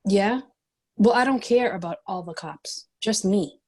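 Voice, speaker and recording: A woman's voice: mid-range voice, measured pace, darkish tone, close to an everyday voice. A woman playing a stubborn, prickly bounty cop in a screenplay table read, terse and combative. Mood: weary, prickly.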